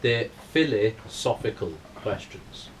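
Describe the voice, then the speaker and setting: A man's voice: on the low side, animated, slow pace, slight background noise, poor audio. A man co-hosting a casual chat, teasing and joking with his friends. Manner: jokey, teasing. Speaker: podcast co-host. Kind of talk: casual banter.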